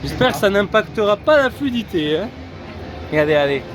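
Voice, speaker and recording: Chatty high-voiced man vlogging outdoors. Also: very animated, brisk. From France. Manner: chatty, explaining to viewers, many fillers. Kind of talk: casual vlog commentary. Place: outdoors in a busy theme park.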